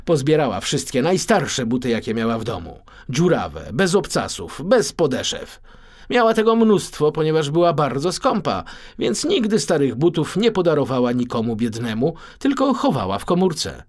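A man reading a children's story as an expressive, theatrical audiobook narrator. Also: on the high side, moderate pace.

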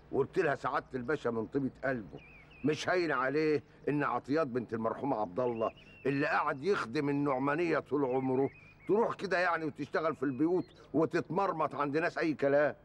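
An actor as a sly, scheming Egyptian villager. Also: male voice, mid-range voice, fast talker, slight background noise, natural voice. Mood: fawning. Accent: Egyptian Arabic. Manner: scheming, sly, fawning toward superiors. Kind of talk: TV drama acting.

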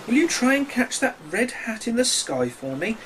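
A man's voice: extremely high pitch, very animated, normal speed, a bit bright, slight background noise, voice pushed up, heavily acted. A man voicing a small, eager little fish in a children's storybook, polite and pleading.

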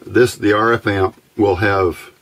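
A man giving a casual, unscripted demonstration at a workbench, halting and thinking aloud as he goes. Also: lowish voice, steady tone, fairly bright tone. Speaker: hobbyist presenter. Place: indoors at a workbench.

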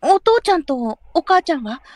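A woman playing a hesitant, soft-spoken nineteen-year-old courtesan in a Japanese period audio drama. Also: high voice, very animated, moderate pace, dry recording. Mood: hesitant, sad.

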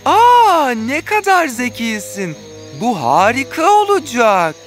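Excitable little dove, unhurried. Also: female voice, high-pitched, faint music behind, exaggerated voice. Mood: delighted. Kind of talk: animated fairy tale dubbing.

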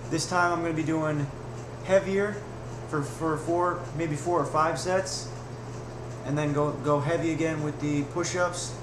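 A man's voice: on the high side, fairly even intonation, unhurried. A young man in his twenties talking casually and bluntly through a home workout vlog, swearing now and then. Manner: blunt, swears, strains and grunts through reps. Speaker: fitness vlogger. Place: small room at home. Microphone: webcam at desk distance. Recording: echoey room, noisy webcam audio.

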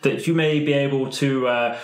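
A man improvising casually and haltingly as a roleplay game player. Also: mid-range voice, steady tone. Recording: roomy echo.

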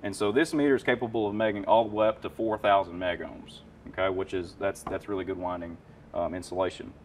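Guy in his 30s explaining hvac tools, kinda low voice, a bit off mic in a warehouse, faint hum. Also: fairly even intonation, fast, far from the mic. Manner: enthusiastic, practical, lots of fillers. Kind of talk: casual shop talk, explaining. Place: warehouse parts room with shelves.

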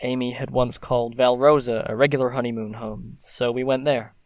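A young gentleman played by a man in a period audio drama, mid-pitched and recorded dry. He is proud and fondly teasing, with a wry, playful formality. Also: flat delivery, brisk, dry recording, lightly acted. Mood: fond, happy.

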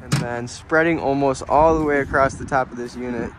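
A young man giving a relaxed, plainspoken walkaround tour of a small camping trailer, filmed on a handheld phone outdoors and then inside. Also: mid-range voice, fairly quick, background noise. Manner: relaxed, plainspoken, pointing things out as he goes.

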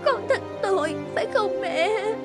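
A woman voicing a sorrowful, devoted grown daughter in an animated morality tale, earnest and plaintive. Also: very high voice, unhurried. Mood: tearful regret. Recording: music behind the voice.

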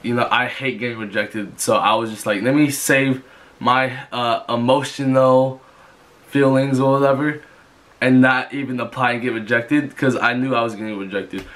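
A man's voice: medium pitch, a bit bright. A young man from Georgia talks to a camera in his bedroom, his voice stuffy from a cold. He rambles casually in a mid-range voice, restarting sentences often. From Georgia, USA. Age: young adult. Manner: rambling, with many restarts and fillers. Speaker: vlogger. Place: bedroom, indoors. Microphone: camera in front of him.

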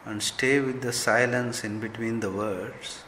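A man leading a guided meditation as a spiritual teacher, giving gentle instructions in short, measured phrases. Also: mid-range voice, steady tone. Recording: long hall reverb, faint background noise.

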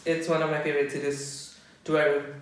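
A man's voice: fairly high pitch, completely flat delivery, fairly quick. A young man from India chatting casually as an interviewee on a living room sofa. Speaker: student interviewee. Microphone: far camera. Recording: very echoey room with music.

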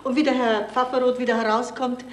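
Woman playing fussy indignant landlady, highish voice, roomy old radio drama. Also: little pitch movement, fast, close to an everyday voice. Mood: agitated. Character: indignant, fussy female dog owner and landlady. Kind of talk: 1970s German radio play acting. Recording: roomy, slightly hissy vintage radio recording.

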